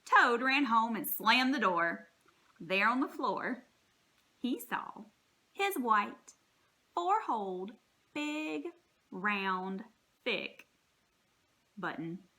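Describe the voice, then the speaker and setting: A woman reading a children's story aloud like a teacher, expressive, with deliberate, emphatic phrasing. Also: on the high side.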